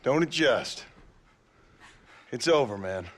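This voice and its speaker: An actor playing a gruff, weary American gunslinger in a game cutscene, terse and rustic in his talk. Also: mid-range voice, animated, takes it slowly, a little hiss or hum. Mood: stern. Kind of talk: dramatic game cutscene acting.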